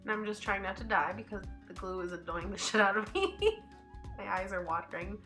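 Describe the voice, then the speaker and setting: A woman's voice: mid-range voice, steady tone, brisk. A woman beauty vlogger with a cold and a stuffy nose, chatting casually as she narrates her makeup tutorial. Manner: chatty, upbeat, thinks out loud with fillers. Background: faint background music.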